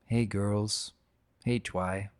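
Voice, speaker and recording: A man voicing an aged, gentle dragon in a dramatic reading, reflective and sentimental as he tells his own story. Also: on the low side, steady tone, drawn-out words, clear, resonant tone, voice pushed down. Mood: tender, quiet. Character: aged dragon, gentle, nostalgic, fondly guarding keepsakes.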